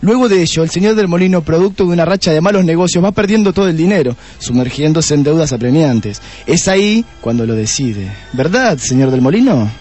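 Casting: cocky Argentine sleuth, high-pitched, self-satisfied, theatrical radio-play delivery. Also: male voice, expressive, a little mellow, soft background music. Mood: triumphant, explaining. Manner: self-satisfied, explaining with dramatic pauses. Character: cocky young male police detective, smug and theatrical. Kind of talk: comedic radio drama acting.

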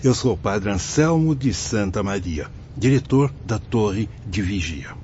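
A courteous, pious priest with genial authority acted by a man in a radio drama. The voice sits fairly low and moves in lively swings. The recording is dull, with faint noise. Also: unhurried. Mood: welcoming, pious. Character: hospitable male priest, director of a watchtower, genial authority.

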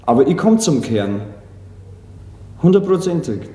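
Higher voiced guy, mellow but emphatic, repeats himself, echoey. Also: very animated, a little slow. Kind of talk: spontaneous emphatic remark. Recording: echoey room, faint noise.

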